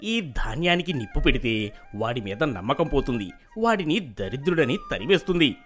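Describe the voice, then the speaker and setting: A man's voice: medium pitch, big pitch swings, moderate pace, a bit bright, faint music behind. A man playing a greedy, jealous, shifty village schemer in a folk tale. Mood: sneering, scheming. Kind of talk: acted folk tale dialogue.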